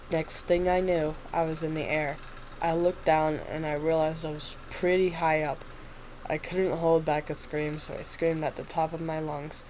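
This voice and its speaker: A woman's voice: low-pitched, a little mellow, a little hiss or hum, low-quality recording. A woman with a stuffy nose reading the part of a weary male doctor telling his story in first person.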